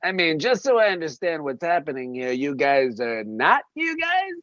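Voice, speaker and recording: A performer playing an anxious, excitable animal in an animated comedy table read, swinging from meek to frantic. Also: medium pitch, normal speed. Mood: baffled. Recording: roomy, slightly dull sound.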